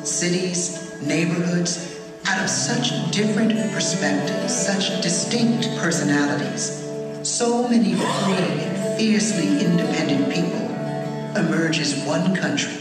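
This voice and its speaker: Far off in a big echoing hall, a woman narrates a documentary over loud plucked-string music. Her delivery is slow, measured and solemn. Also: on the low side, lively intonation. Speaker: film narrator. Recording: echoey hall playback, distant, loud music behind.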